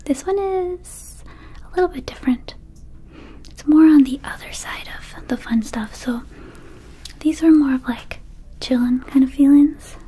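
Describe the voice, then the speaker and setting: A woman voicing a gentle vampire dispensary keeper in a whispered ASMR roleplay, soothing and unhurried, with childlike wording. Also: high-pitched. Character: gentle female vampire dispensary keeper offering magic herbs.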